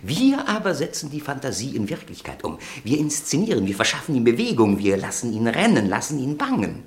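Suave, animated, theatrical company director. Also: male voice, mid-range voice, fairly quick. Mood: proud. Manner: florid, courteous salesman's speech. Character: suave, theatrical, proud director of an adventure agency. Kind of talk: German radio drama acting.

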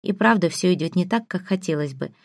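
A woman acts out a sarcastic female teacher from a fantasy audiobook. She is curt and biting, with a lowish, creaky voice in a dry, close recording. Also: animated, brisk, a little mellow, no room sound. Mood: conciliatory.

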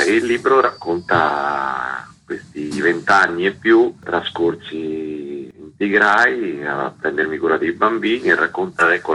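A man's voice: low voice, pushed and bright, husky, rough recording. A middle-aged man, an author being interviewed, telling his own story in a radio interview.

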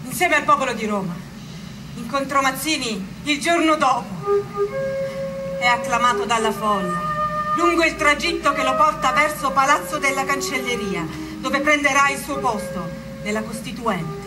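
A woman's voice: high voice, lively intonation, moderate pace, distant mic, loud music behind the voice, rough recording. A woman giving solemn, oratorical dramatic narration from a script.

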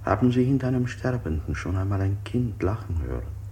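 An actor playing an unsettling interrogator in a German radio play, putting insistent, probing questions. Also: male voice, on the low side, expressive, fairly quick, mellow, close to an everyday voice. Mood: probing, menacing. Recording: hissy 1960s radio play recording.

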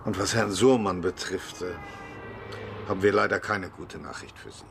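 Brief: formal, somber police officer in a radio play, gravelly lowish voice, faint noise. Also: male voice, normal speed. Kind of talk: radio crime drama acting.

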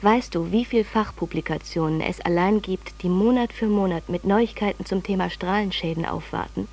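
A woman's voice: mid-range voice, normal speed, a little mellow, close to an everyday voice. Actress doing a frazzled lady reporter reading out her letter, wry and dramatic, radio play style. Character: overwhelmed, self-ironic woman journalist, a pedantic researcher. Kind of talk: radio drama monologue, letter read aloud.

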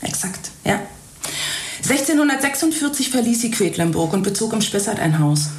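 An actress playing a helpful, precise town archivist in a naturalistic radio drama, with a matter-of-fact, informative manner. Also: female voice, mid-range voice, very animated, normal speed. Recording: roomy echo, faint noise.

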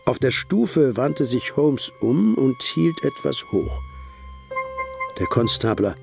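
An actor playing a detective's admiring companion, narrating a radio drama in a measured, literary storytelling manner. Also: male voice, medium pitch, sing-song, vocal fry, music in the background, full character voice.

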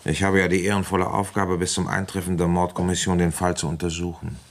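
A man playing a calm, probing village police commissioner in a German radio crime drama, speaking in a dry, matter-of-fact way. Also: low-pitched, quick pace, background noise. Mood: grave, probing.

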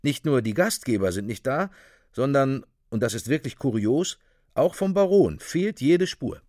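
Mid-pitched male narrator, dry studio sound. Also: sing-song, measured pace, a little mellow, dry recording. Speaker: narrator reading reports. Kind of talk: scripted radio play narration.

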